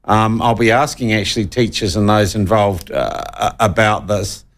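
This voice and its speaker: A man's voice: lowish voice, normal speed. A man hosting a talk radio interview in a studio, blunt and opinionated in the way he talks. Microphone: studio broadcast mic.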